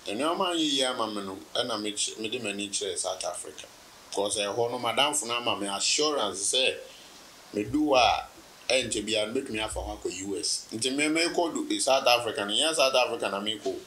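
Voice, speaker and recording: A Ghanaian man in his thirties, a business guest in a relaxed sit-down interview, telling his story with laughs and anecdotes. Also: on the low side, a little fast, slight background noise, low-quality recording. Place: indoor room, seated on sofa.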